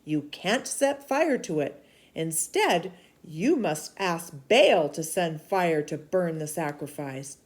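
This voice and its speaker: A woman voicing a bold, commanding male prophet in a children's storybook, with loud, emphatic delivery. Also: lowish voice, lively intonation, slow pace.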